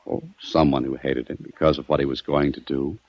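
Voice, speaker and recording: A man's voice: rumbling low, fairly even intonation, a little fast, dry recording. An actor playing a shrewd, cool-headed investigator in an old-time radio crime drama, commanding and sardonic as he needles his suspect. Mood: coolly accusing. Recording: dull vintage radio recording.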